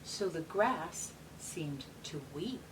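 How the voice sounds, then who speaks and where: A woman talking and reading aloud to young children, explaining things simply and patiently with gentle questions. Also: fairly low pitch, lively intonation, slow pace. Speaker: read-aloud host for children. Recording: distant mic with faint background noise.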